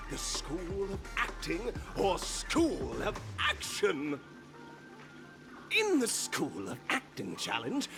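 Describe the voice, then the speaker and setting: A man voicing a zany contest announcer in a game, with showy, drawn-out emphasis in game-show style. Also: high-pitched, full character voice, rough recording. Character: zany high-voiced male stage announcer for a contest.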